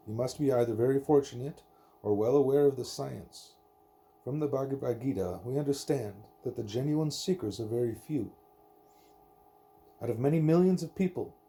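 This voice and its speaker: A man's voice: mid-range voice, dark tone. A man reading a scripted interview aloud as an audiobook narrator. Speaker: audiobook reader.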